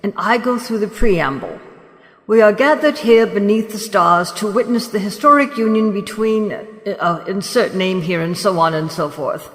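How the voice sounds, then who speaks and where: A woman's voice: medium pitch, expressive, normal speed, lightly acted. A woman playing a fussy, deferential, officious wedding officiant in an audio drama. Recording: reverberant hall with faint music.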